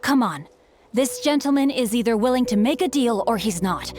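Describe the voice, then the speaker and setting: A young, bookish swordsman from a video game , played by a woman, chivalrous and polite. The voice sits fairly high and speaks in formal, flowery, theatrical phrases, with light music underneath. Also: animated, moderate pace, darkish tone, lightly acted. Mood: righteous.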